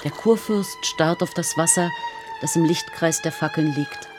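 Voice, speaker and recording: An actress playing a composed, observant storyteller, narrating a poetic radio drama in a measured literary manner. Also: female voice, low voice. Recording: studio radio drama with music. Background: prominent music with strings.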